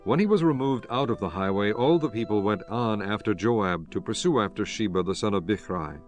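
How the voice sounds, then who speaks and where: A man narrating scripture from a script in a measured, solemn manner. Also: on the low side, faint music behind.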